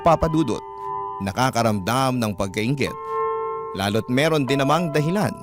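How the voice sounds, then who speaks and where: A man with a Filipino accent narrating a listener's letter in the first person as a dramatic radio storyteller. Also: medium pitch. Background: background music.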